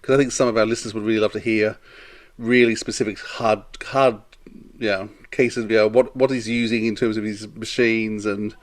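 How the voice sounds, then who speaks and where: Halting, conversational man co-hosting a podcast. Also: fairly low pitch, fairly even intonation. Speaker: podcast co-host. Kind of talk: casual conversational podcast chat.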